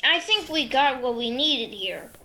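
A woman's voice: high-pitched, expressive, unhurried, background noise. A woman acting in an audio drama as a puzzled, uneasy but polite interviewer, hesitant and awkward. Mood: awkward, evasive. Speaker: student performer.

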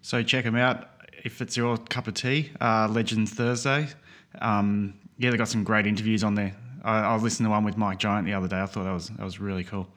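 A man's voice: fairly low pitch, level tone, a little fast, fairly bright tone. A man hosting a podcast, introducing an episode and chatting casually with many fillers. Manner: easygoing, frequent fillers. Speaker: podcast host. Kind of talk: casual interview chat.

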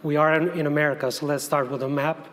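Male guest missionary speaker, Albanian accent, slightly high voice, halting delivery, recorded in a reverberant hall. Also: little pitch movement, noisy background. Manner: halting, with frequent repeated words. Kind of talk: missions presentation to a church conference. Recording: echoey large hall, long reverb.

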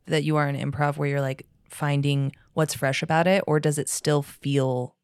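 A woman's voice: deep voice, flat delivery, moderate pace, darkish tone, clean tone, dry recording, studio quality. A woman interviewing a guest in a conversational, appreciative way. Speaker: podcast interviewer.

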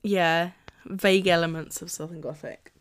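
A woman's voice: lowish voice, steady tone, normal speed, clear, resonant tone. A woman co-hosting a book podcast, chatting casually and laughing often. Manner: chatty, laughs often, reads blurbs aloud. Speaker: podcast co-host.

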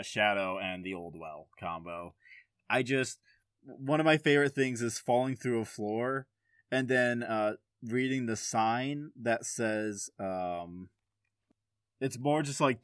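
A man talks as a podcast panelist in a relaxed, informal conversation about games. His voice sits at an average pitch and is captured dry and close, as he shares anecdotes in everyday language. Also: clear, resonant tone, no echo. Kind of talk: casual chat about a video game.

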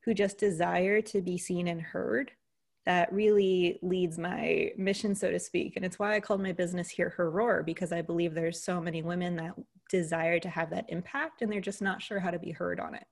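A woman's voice: fairly low pitch, fairly even intonation, moderate pace, vocal fry. A young American woman, a leadership coach, telling her story earnestly in a casual interview, with fillers along the way. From United States.

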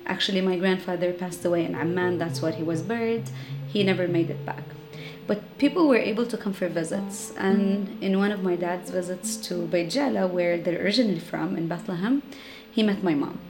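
A woman's voice: fairly low pitch, brisk, hardly any pauses. A woman in her thirties, raised in Jordan, telling her family's story reflectively with many fillers. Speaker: interviewee. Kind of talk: personal storytelling. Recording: music playing under the voice.

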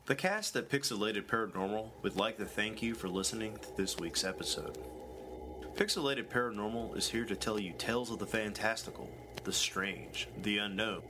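A man reading a scripted podcast outro in a measured, formal announcer manner. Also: lowish voice. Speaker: show announcer. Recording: loud background noise.

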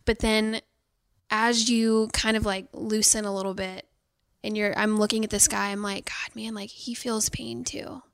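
A woman's voice: medium pitch, little pitch movement, moderate pace, vocal fry. A woman telling a personal story in a casual podcast conversation, candid and full of fillers.

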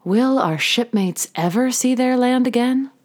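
A woman's voice: on the high side, measured pace. A woman narrating an audio drama as its host, in a theatrical, emphatic storytelling manner.

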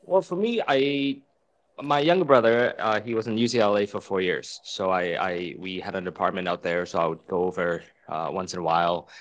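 A friendly man from Hong Kong in his thirties talks in a chatty, easygoing manner, his voice sitting fairly low. The recording is dry and close, with no room sound. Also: fairly quick, no echo, dull audio. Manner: friendly, chatty, self-deprecating. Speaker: guest, fitness educator. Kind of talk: casual interview chat.

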